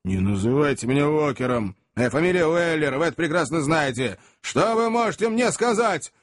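A man voicing a rough, quick-tempered young manservant who barks threats. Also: fairly high pitch, animated, no echo, studio quality, pitched above the performer's own voice. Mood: fierce shouting. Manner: gruff threatening shouts.

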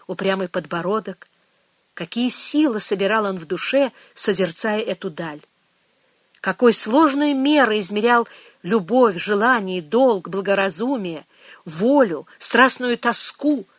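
An audiobook narrator giving a measured, expressive scripted reading into a close mic. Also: mid-range voice, dry recording.

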